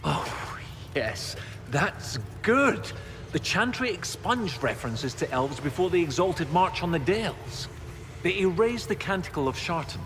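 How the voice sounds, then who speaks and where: An actor playing an absent-minded, excitable male professor of history in a video game, halting and muttering as he thinks aloud. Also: a little high. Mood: delighted. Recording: reverberant game hall, noisy ambience.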